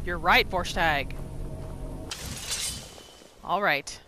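A woman's voice: fairly low pitch, very slow, background noise. A woman hosting a gaming video, giving reactive roleplay commentary over gameplay. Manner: reactive, narrates her character in third person. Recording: faint game music behind.

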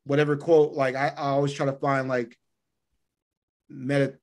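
A man talks as a guest on a podcast over a video call. His voice sits at a medium pitch, and he explains things in a conversational, motivational way, circling back on phrases to stress them. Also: little pitch movement, normal speed. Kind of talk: conversational explaining of personal routines. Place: indoor room by a window, on a video call.

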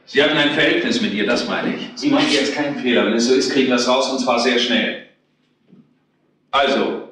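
An actor playing a stern, persistent police detective questioning people in a retro crime radio play. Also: male voice, mid-range voice, fairly quick, a bit bright, a little hiss or hum, lightly acted. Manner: probing, firm, impatient. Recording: roomy, echoing studio sound.

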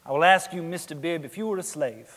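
In a ringing theatre hall, a young man plays a male attorney with a high-pitched voice. He puts questions in a formal, measured and courteous way, as in a staged courtroom drama. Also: a bit bright. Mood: formal, methodical. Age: young adult. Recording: echoey theatre stage, long reverb.

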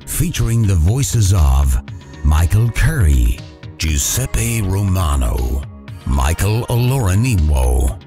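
A deep male announcer reading a scripted opener with lively, swinging pitch. He speaks slowly, and clear music plays beneath him. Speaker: show announcer. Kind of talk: scripted intro. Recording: intro over music.

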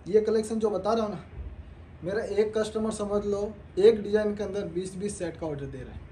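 A young man pitching his clothing collection to viewers in a showroom, talking persuasively while showing garments. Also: moderate pace, warm tone, a little hiss or hum. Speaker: clothing wholesaler.

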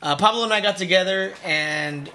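Male podcast host, casual rambling talk, bright forceful voice, slightly higher pitch. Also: normal speed, slight background noise.

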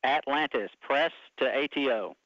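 A man's voice: medium pitch, moderate pace, fairly bright tone, no echo. A man acting as ground controller, giving clipped, procedural radio calls to a crew. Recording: dull radio link.

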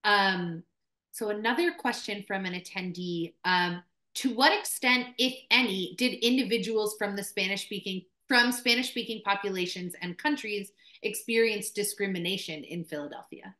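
A woman in her thirties moderating a Q&A on a video call from a small room, measured, with frequent ums. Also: mid-range voice, bright, forceful tone, roomy sound. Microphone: video call webcam.

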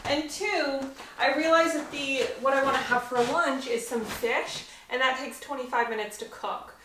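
A woman's voice: high-pitched, fairly even intonation, moderate pace. Woman, 20s, gushing casual vlog talk, roomy apartment sound, camera mic. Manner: bubbly, chatty, gushing. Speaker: lifestyle vlogger. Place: small apartment, indoors. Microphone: camera mic, handheld or set on a tripod. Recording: echoey room with faint noise.

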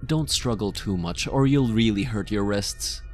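Possessive mafia boss lover, played by a man: deepish voice, intimate and coaxing, obsessively devoted, ASMR audio roleplay over light music. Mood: firm, coaxing. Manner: slow, soft, coaxing, close and intimate.

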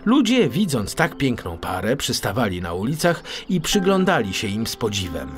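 A male narrator tells a children's story with very lively intonation. His mid-pitched voice is expressive and plays over faint music. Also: normal speed. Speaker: audiobook narrator.

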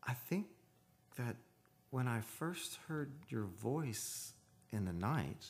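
An actor playing an earnest, haunted man of seafaring stock in an old-time radio drama, speaking in a solemn, measured, poetic way. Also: medium pitch, lively intonation. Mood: awed, halting. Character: earnest, haunted seafarers' descendant telling his eerie tale. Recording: live radio studio with music behind.

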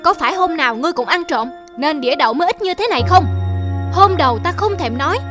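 A woman voicing a stern, suspicious rich male master in an animated fairy tale, bossy and accusing. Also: sits high, fast talker, music in the background. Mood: furious, accusing.

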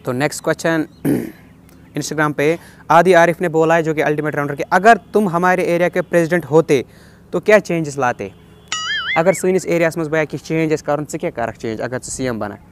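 A young man with a fairly high voice and a Kashmiri accent hosts a casual question-and-answer vlog. He reads each question aloud and replies in a chatty way, dropping in bits of English. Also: moderate pace, a little hiss or hum. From Kashmir, India. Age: 20s. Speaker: vlogger answering viewer questions. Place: outdoors on a rooftop.

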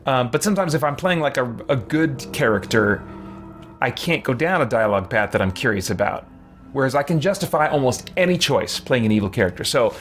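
A man in his forties giving casual, reflective, rambling gameplay commentary as a streamer. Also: mid-range voice. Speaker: game streamer. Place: small room with shelves, indoors. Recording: game ambience as clear background noise.

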